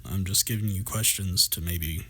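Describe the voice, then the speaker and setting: Man playing a low-voiced, teasing, supportive best friend. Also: normal speed, sharp tone, slightly rough, heavily acted. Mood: supportive, reassuring. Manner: playful, affectionate, wry, reassuring. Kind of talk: intimate scripted roleplay audio drama.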